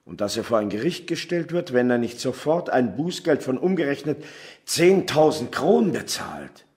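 An actor playing a weary, gruff middle-aged male detective who narrates his own story in a radio crime drama. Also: medium pitch, very animated, moderate pace, a little mellow, no echo, studio quality. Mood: agitated, disbelieving.

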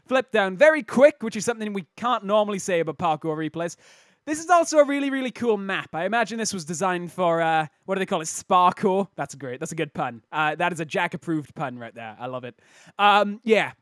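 A man with a slightly croaky voice hosting casual, jokey video game commentary in a self-interrupting way. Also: high-pitched, expressive, brisk, a bit bright, no room sound, studio quality. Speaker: host of a game replay showcase.

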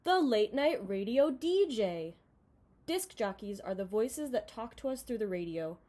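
A young woman reading a children's picture book aloud in an expressive, dramatized way, recorded in a room at home. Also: on the high side, measured pace. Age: young adult. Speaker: storybook reader.

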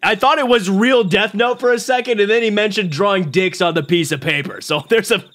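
A man's voice: sits high, brisk, fairly bright tone. A man in his twenties streaming from a small room at home into a desk mic, joking energetically and laughing often. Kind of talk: casual live-stream commentary. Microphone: desk mic on boom arm.

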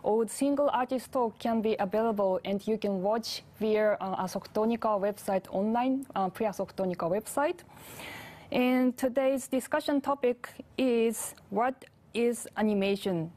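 A woman's voice: fairly high pitch, measured pace, darkish tone. Japanese-accented woman hosting formally. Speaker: festival host introducing a panel. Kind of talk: formal introduction. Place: indoor studio. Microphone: lapel mic.

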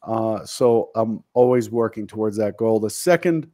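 A man's voice: fairly low pitch, normal speed, dark tone. A man in his fifties hosting a casual video-call chat, talking earnestly and a bit self-deprecatingly about his goals. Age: 50s. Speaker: host. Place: home office. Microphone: studio mic on video call.

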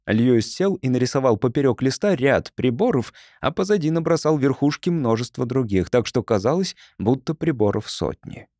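A man's voice: medium pitch, lively intonation, moderate pace, few pauses, warm tone, dry recording, studio quality. A man reading a first-person story as an audiobook narrator in a scripted, storytelling style.

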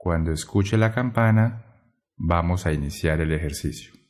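A man guiding a meditation in a gentle, measured way, pausing between short phrases. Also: low voice. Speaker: meditation guide. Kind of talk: guided meditation narration.

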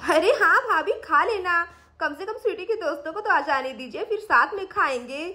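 Woman voicing an excitable high-pitched young aunt. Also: moderate pace, heavily acted. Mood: excited. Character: proud, excitable young aunt who cooks.

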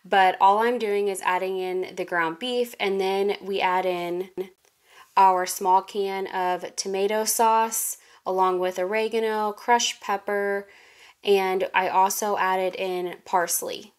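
A young woman narrating a casual cook-along in her home kitchen, chatty and relaxed, laughing at her own slips. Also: mid-range voice, slow pace. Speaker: home cooking vlogger. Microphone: phone camera over the counter.

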